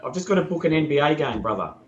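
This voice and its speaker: A man from Australia, a podcast guest with a sore throat and a croaky voice, chatting in an easygoing way with lots of ums. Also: on the high side, big pitch swings, very fast, roomy sound, highs cut off. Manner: easygoing, hesitant with many ums. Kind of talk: casual podcast chat.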